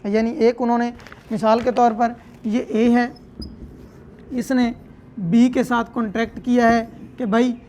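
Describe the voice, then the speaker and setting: A woman's voice: fairly high pitch, a little mellow, a little hiss or hum. A woman with a Pakistani accent giving a methodical, explanatory law lecture, going through clauses step by step.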